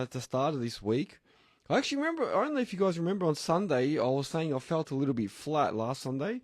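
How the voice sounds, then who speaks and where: Croaky, sick Australian man hosting live. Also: mid-range voice, darkish tone, no echo, clean studio sound. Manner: chatty, rambling, thanks listeners by name. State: hoarse, sick voice. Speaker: podcast host. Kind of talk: live podcast chat.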